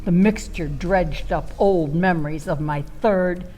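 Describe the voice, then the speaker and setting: A woman playing a flirty, much-married storyteller in a comic stage monologue, with a theatrical, drawn-out delivery. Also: fairly low pitch, mellow, rough recording. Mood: wry, indignant. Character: Violet Van Zandt, flirty much-married woman storyteller, dreamy and tipsy-witted. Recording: live theater performance with faint music.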